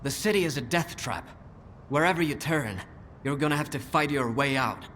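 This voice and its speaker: A man's voice: mid-range voice, expressive, moderate pace, fairly bright tone, slight background noise, natural voice. An actor playing a wary, serious male veteran guide in a video game, emphatic and cautioning. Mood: warning. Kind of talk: video game cutscene acting.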